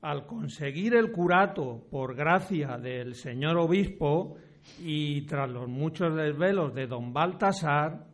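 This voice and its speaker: Man playing a slow-speaking, high-voiced village priest. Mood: explaining, formal. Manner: deliberate, formal, old-fashioned speech. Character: courteous, authoritative village parish priest of the 1700s. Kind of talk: amateur historical radio drama acting.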